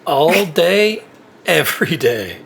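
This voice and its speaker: An actor playing a kindly older company employee in an audio drama, folksy, wry and a little hesitant. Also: male voice, a little high, big pitch swings, takes it slowly, creaky, exaggerated voice. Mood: wry, friendly. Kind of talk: naturalistic audio drama dialogue.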